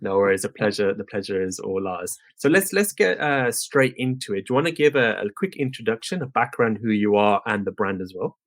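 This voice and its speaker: A man's voice: fairly low pitch, animated, a little fast, few pauses. A man hosting a business podcast, interviewing a guest in an upbeat, friendly way. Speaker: podcast host.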